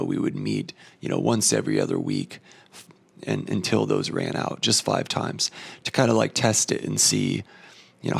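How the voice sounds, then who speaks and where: A man's voice: fairly low pitch, fairly bright tone. A man losing his voice and tired, talking in a thoughtful, rambling personal monologue with many fillers. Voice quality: hoarse, gravelly. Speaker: vlogger.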